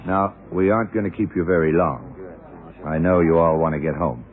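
Very deep-voiced, methodical radio drama detective played by a man. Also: narrow, tinny audio, close to an everyday voice. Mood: brisk, in command. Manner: deliberate, probing, punctuated with yeah and right. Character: methodical, blunt, self-assured male police detective. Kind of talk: 1970s radio drama acting. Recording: noisy, band-limited old radio recording.

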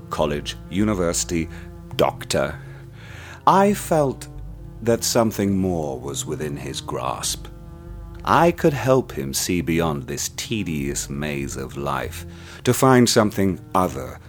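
A cold, sadistic tormentor, voiced by a man in an audio drama, speaking softly and deliberately to his captive. His voice sits very low, and it rises and falls with lively, theatrical swings. Faint music plays beneath him. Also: exaggerated voice. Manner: soft, measured, silkily menacing. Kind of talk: radio play acting.

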